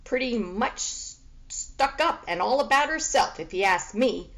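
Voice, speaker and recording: A woman's voice: fairly high pitch, lively intonation, measured pace, a bit bright. A woman voicing a blunt, laconic male dog with a matter-of-fact manner in a storybook reading.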